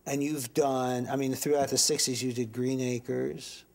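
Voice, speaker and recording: A man chatting casually as an interviewer, with the animated, enthusiastic manner of a fan. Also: mid-range voice, unhurried, gravelly. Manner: animated, enthusiastic fan who acts out remembered dialogue.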